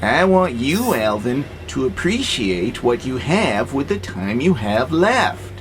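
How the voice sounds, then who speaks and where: An actor playing a gentle, philosophical freight robot in an audio drama, calmly persuasive and playfully sarcastic. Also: male voice, mid-range voice, slow, soft background music. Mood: earnest. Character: gentle, wry, philosophical male freight-hauling robot, peaceable.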